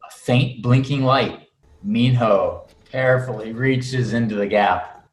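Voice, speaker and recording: A man's voice: mid-range voice, slow. Man narrating a script, roomy sound. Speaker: script narrator at a table read. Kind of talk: scripted narration of stage directions. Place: indoors on a video call. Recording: roomy video call, faint noise.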